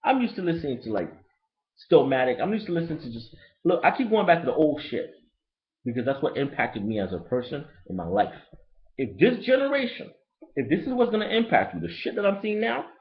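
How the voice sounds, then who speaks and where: A man with a Haitian accent who grew up in Brooklyn, ranting bluntly and profanely in a casual, rambling album review. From Brooklyn, New York. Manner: profane, blunt and rambling, lively pitch swings. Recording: lo-fi dull recording.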